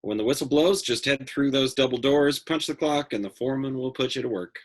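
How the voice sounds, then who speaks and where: A man's voice: medium pitch, brisk, close to an everyday voice. An actor in a play reading, playing an easygoing young factory man with a folksy, plain-spoken manner. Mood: helpful, easygoing.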